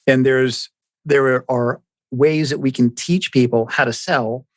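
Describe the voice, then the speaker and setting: A man's voice: medium pitch, steady tone, slow, dry recording. Inquisitive male podcast host, dry sound. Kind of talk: conversational podcast interview.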